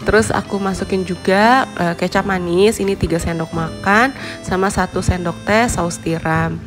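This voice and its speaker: A young woman with a stuffy nose and a hoarse voice from a cold, chatting casually in a home vlog voice-over. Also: lowish voice, steady tone, normal speed. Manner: chatty, friendly, with little laughs and fillers. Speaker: vlogger, homemaker. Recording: voice-over with clear background music.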